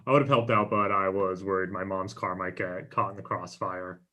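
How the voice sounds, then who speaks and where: A man's voice: low voice, steady tone, brisk, pushed and bright. A man playing a laid-back young friend in a table read over a video call. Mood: easygoing. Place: indoor room on a video call.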